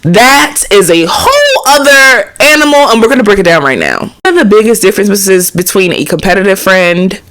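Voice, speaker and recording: A woman hosting a casual advice talk, animated and preachy, sounding nasal from a cold. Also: on the low side. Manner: animated, preachy, acts out quoted friends. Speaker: host.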